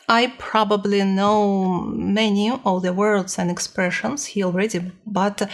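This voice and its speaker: A woman giving a casual personal report as a language learner, speaking hesitantly and a little nervously as she searches for words. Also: medium pitch.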